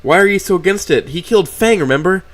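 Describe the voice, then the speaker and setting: A man voicing a young storybook dinosaur who is furious, swearing and shouting in a raised, high voice. The character is pushed to the edge of rage throughout. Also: lively intonation, quick pace, no room sound. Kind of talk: reading a fan story aloud.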